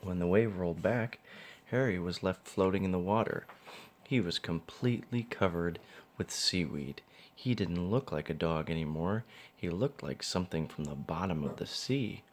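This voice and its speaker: A man's voice: deep voice, normal speed, slight background noise. A man reading a children's storybook aloud as narrator. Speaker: father reading a picture book.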